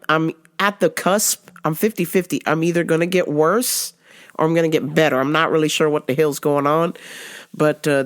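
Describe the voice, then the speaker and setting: A woman hosting her own podcast, congested with a sore throat, rambling casually, swearing a lot and laughing often. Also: low voice. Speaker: podcast host. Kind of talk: casual solo podcast monologue.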